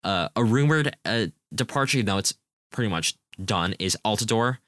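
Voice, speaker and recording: Low-voiced young man with a bright edge. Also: expressive, moderate pace, no room sound, clean studio sound. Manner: enthusiastic, rambling with restarts. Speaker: podcast co-host. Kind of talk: casual soccer preview chat.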